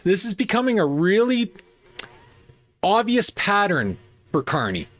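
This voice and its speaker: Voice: medium pitch, sing-song, measured pace, fairly bright tone. A host giving opinionated political commentary in an emphatic, repetitive and scornful manner.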